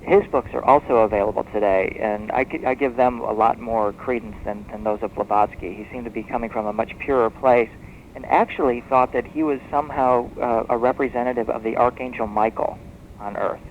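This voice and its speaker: A man's voice: medium pitch, fairly even intonation, fairly quick. Man on a muffled, noisy radio tape. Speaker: guest expert. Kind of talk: radio interview, explanatory storytelling. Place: radio broadcast interview.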